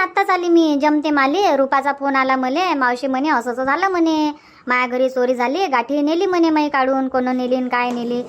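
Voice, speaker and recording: Woman doing a cartoon village auntie, high voice, worried and preachy, varhadi marathi accent, keeps asking rhetorical questions. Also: muffled recording, natural voice. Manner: emphatic, rambling, full of rhetorical questions. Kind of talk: comic cartoon dubbing.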